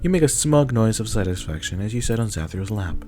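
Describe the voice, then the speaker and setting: A man with a fairly low voice narrates an ASMR roleplay story in the second person. His scripted reading sits over a clearly audible music bed. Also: big pitch swings, fairly quick.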